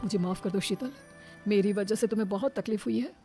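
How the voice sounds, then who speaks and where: A woman's voice: mid-range voice, brisk, dark tone, faint music behind, lightly acted. Woman playing a domineering, melodramatic older mother-in-law. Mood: distraught. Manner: commanding, melodramatic, scolding. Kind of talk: dramatised cartoon story dialogue.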